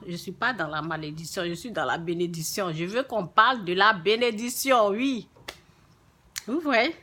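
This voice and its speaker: African woman w francophone accent chatting about faith to viewers, slow pace, bright sharp voice, repeats stuff for emphasis. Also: medium pitch. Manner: emphatic, repeats phrases for stress. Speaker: religious vlogger. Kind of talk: informal spiritual talk to viewers.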